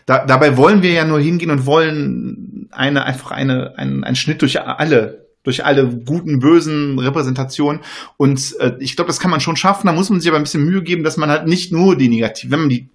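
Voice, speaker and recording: A man's voice: a little high, a little fast, creaky. A man who stutters, speaking in a casual panel discussion, with many fillers and restarts.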